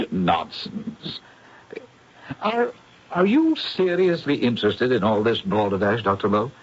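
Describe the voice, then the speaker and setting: An actor playing a brash, mocking young upper-class nephew in a 1970s radio drama, flippant and blustering. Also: male voice, medium pitch, lively intonation, slow, husky. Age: young adult. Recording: muffled vintage radio drama recording.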